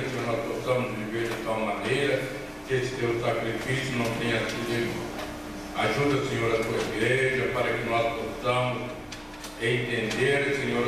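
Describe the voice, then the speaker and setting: A Brazilian man speaking a spontaneous prayer aloud as a church member. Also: medium pitch. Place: large church hall, indoors. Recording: very echoey church hall, distant, noisy.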